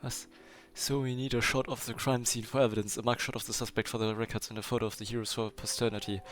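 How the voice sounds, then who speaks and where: A man's voice: mid-range voice, level tone, quick pace, fairly bright tone. A native German-speaking man streaming a game, reading dialogue aloud with muttered commentary. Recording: game music behind the voice.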